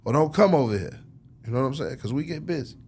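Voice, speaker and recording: A man's voice: mid-range voice, expressive, fast talker, darkish tone. A man being interviewed as a record label executive, talking casually in slangy, informal language and often checking that the listener follows. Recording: clearly noisy background ambience.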